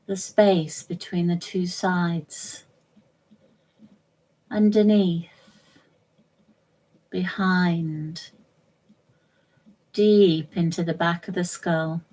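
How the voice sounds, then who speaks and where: Very slow, gentle woman guiding meditation. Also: on the low side, poor audio. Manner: gentle, measured, soothing, short phrases with long pauses. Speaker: yoga nidra instructor. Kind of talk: guided meditation, relaxation instruction.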